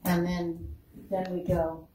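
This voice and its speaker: A woman's voice: on the low side, monotone, slow pace, mellow. A woman curator giving a casual guided tour of a museum, chatting about each exhibit. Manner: chatty, lingers on exhibits, laughs easily. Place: indoor museum room. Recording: roomy, distant mic, faint noise.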